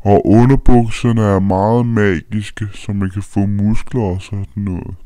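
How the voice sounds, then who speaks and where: A man's voice: lowish voice, level tone, dark tone, smooth, no room sound, clean studio sound, close to an everyday voice. A man voicing a blunt, scolding friend in an amateur comedic anime dub, speaking matter-of-factly.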